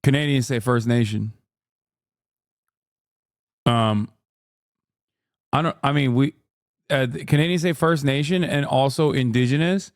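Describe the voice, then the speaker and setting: A man's voice: mid-range voice, a little slow, long pauses, no room sound, studio quality. A man from the United States giving casual, rambling live-stream commentary, sweary and exasperated. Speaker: streamer reacting to a video.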